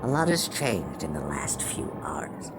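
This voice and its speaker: Woman acting a starship captain, measured and resolute, weighing tough calls, sci-fi radio play, loud rumbly background noise. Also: big pitch swings, full character voice. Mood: reflective, resolved. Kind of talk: science fiction audio drama acting. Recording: noisy track with engine rumble.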